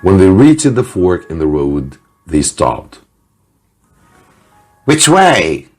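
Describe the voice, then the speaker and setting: A very low-pitched man narrates an adventure story. His delivery is slow and dramatic, with lively swings in intonation, over music. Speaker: English teacher reading a novel chapter aloud. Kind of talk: scripted audiobook-style narration of an adventure story.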